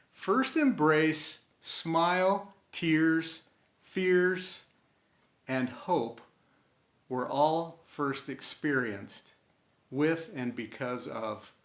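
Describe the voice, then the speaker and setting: A man reading a scripted inspirational tribute in an earnest, sentimental way, with deliberate dramatic pauses between short phrases. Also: on the high side, a bit bright. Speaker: storyteller and host of an inspirational series. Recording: roomy, echoey sound.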